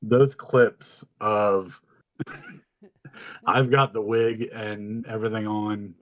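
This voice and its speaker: A man with a deep voice co-hosting a podcast, telling stories in an informal, casual way and swearing freely. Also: little pitch movement, very slow, a bit bright, dry recording, lo-fi sound. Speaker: podcast co-host. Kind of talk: casual chat, storytelling.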